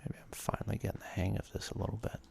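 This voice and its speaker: A man's voice: on the low side, completely flat delivery, racing, soft background music. A man whispering ASMR commentary as he hosts a video game let's play. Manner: soft, breathy whispering.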